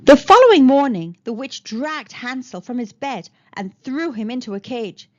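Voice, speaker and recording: High-pitched, animated woman storyteller. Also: moderate pace, lo-fi sound. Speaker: children's storybook narrator. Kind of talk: expressive read-aloud storytelling.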